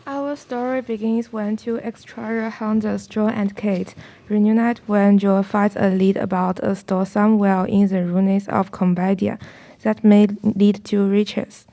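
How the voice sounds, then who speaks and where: A woman's voice: medium pitch, level tone, a little slow, warm tone. A woman reading scripted story narration for a student radio play.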